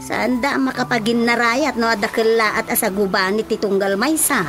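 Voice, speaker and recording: A woman's voice: on the high side, fairly even intonation, moderate pace, fairly bright tone. An actress playing a chatty, laughing village mother with an Ilocano accent in a radio drama. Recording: music under the voice.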